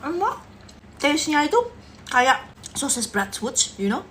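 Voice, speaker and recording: A woman's voice: sits high, sing-song, unhurried, bright, forceful tone, slight background noise. A woman chats casually as she eats and reviews food, humming and murmuring between bites. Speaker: food reviewer in short social videos. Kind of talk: casual mukbang review chat while eating.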